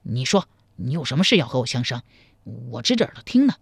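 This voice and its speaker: A roguish young man from a storybook, played by a female narrator, speaks in a lowered, laid-back voice. He teases and wheedles with sly mock meekness, sounding close and dry. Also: big pitch swings, fast, vocal fry, husky, no echo, exaggerated voice. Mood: casual curiosity. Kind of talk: dramatized storybook dialogue.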